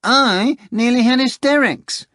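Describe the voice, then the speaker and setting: A man's voice: very high voice, unhurried, sharp tone, no echo, clean studio sound, voice pushed up, heavily acted. A man voicing a boastful, theatrical talking tomcat with a pompous, mock-dignified manner. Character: boastful talking male cat, sly and theatrical.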